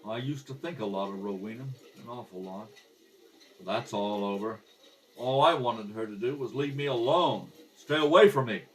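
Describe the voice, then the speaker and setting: A man playing a defensive, pleading murder suspect in a radio crime drama, protesting in rough slang. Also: medium pitch, pitched above the performer's own voice, close to an everyday voice. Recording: slightly distant home microphone.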